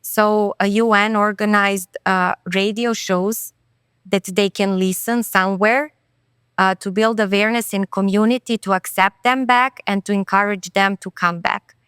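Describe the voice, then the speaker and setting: Woman, smooth voice. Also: medium pitch, fairly even intonation, a bit bright, no room sound, studio quality. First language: Serbian. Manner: thoughtful, earnest, quick with self-corrections. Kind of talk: podcast interview conversation.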